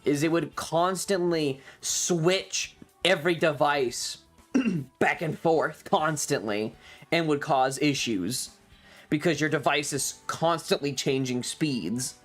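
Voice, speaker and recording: A man's voice: a little high, slow, a bit bright, soft background music. A man chatting casually as a streamer, telling stories in an exasperated, rambling, sweary rant. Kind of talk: casual stream chat, ranting storytelling.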